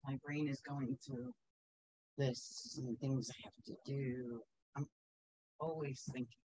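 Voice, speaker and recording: A woman's voice: rumbling low, fairly even intonation, slow pace, mellow, slightly rough, no echo, poor audio. A middle-aged woman teaching quilting in a casual, practical way, chatting as she pins and sews in her home sewing studio. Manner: chatty, practical, talks while working.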